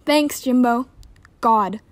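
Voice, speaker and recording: Woman acting Cassie, a high-voiced, bossy radio host. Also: slow pace, dry recording. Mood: exasperated. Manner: scolding, blunt. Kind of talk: comedic radio play acting.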